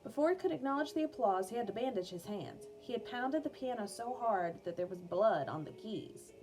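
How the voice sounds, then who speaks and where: A woman reading an educational biography aloud for children as a music teacher, in a clear, measured storytelling manner. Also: on the high side, animated, low-quality recording. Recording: music playing behind the voice. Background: background music.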